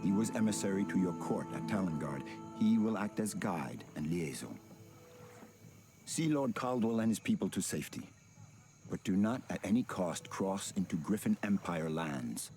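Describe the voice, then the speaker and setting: A deep, solemn elf king. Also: lively intonation, dark tone, faint music behind. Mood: grave. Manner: solemn, measured and commanding. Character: grave, guilt-burdened male elf high king. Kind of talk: video game cutscene acting.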